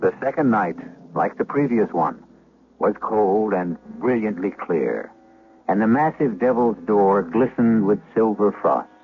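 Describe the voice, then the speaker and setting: A man's voice: fairly low pitch, expressive, unhurried. A man narrating as host of an old-time radio suspense drama in a theatrical, ominous manner. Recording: narrow, tinny old radio broadcast.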